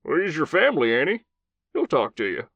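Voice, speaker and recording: A man voicing an older male commander, a gruff old cowboy with a thick Southern drawl who swears freely. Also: a little high, wildly swooping pitch, fairly quick, a bit bright, no echo, dull audio, voice pushed up, full character voice. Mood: gruff consoling.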